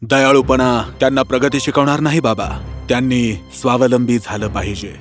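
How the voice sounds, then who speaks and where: A man voicing a stern, misunderstood elder prince in an animated fairy tale, firm and earnest. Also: medium pitch, normal speed, faint music behind, lightly acted. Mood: defiant, restrained.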